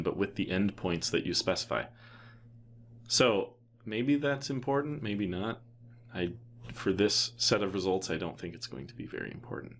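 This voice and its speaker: A man presenting a casual, unscripted software tutorial in an offhand way, thinking aloud as he goes. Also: lowish voice, slight background noise.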